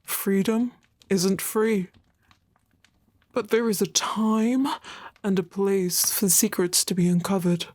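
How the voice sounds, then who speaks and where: Mysterious female collector, played by an actress: slow, musing, theatrical narration, close dry sound. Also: medium pitch, steady tone, a little mellow, no echo. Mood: brooding, resolute. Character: brooding, secretive female collector musing on stories. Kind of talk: scripted dramatic monologue.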